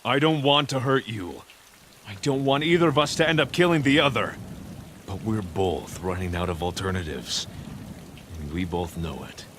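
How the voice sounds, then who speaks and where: An actor playing a grim, weary vigilante hero in a comic dub, stern, earnest and measured. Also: male voice, lowish voice, noisy background, lightly acted.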